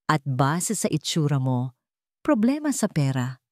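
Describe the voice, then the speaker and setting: A woman plays a wealthy young male executive in a radio drama tale. He is cold, clipped and commanding, with lively swings of pitch and a dry studio sound. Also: measured pace, no echo. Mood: cold, impatient. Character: curt, wealthy young male CEO, businesslike and impatient. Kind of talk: Tagalog radio drama storytelling.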